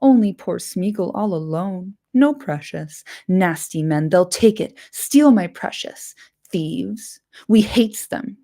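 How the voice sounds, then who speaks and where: A woman voicing a wretched, greedy male creature in a hissing, high, whiny voice that slips into whispers. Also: animated, slow, dark tone.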